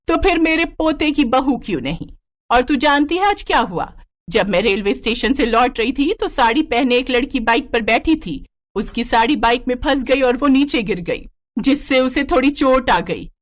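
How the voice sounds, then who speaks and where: A woman's voice: fairly high pitch, voice pushed up, close to an everyday voice. A woman voicing an elderly, modern-minded grandmother in a story, forthright and lecturing in her manner.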